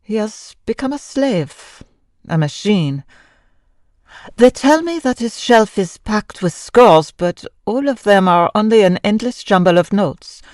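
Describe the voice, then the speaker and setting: A woman playing a grieving, sorrowful Frenchwoman in an old-time radio drama, speaking formally and haltingly. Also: mid-range voice, expressive, darkish tone, dry recording, clean studio sound, lightly acted. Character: grieving Frenchwoman, wife of a mad composer, sorrowful.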